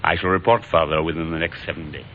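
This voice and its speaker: Formal policeman, deep voice, official report delivery, old radio play, dull noisy recording. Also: steady tone, fast. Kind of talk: old-time radio drama acting.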